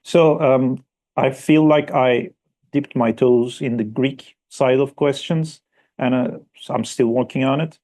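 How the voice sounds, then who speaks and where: Mellow-voiced man giving an academic talk. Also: medium pitch, fairly even intonation, unhurried. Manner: hesitant, many fillers and restarts. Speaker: historian presenting a paper. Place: conference room.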